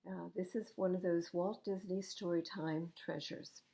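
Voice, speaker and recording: An older woman reading picture books aloud as a library storytime host, in an expressive, animated manner. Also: fairly low pitch, measured pace. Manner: animated, acting out dialogue.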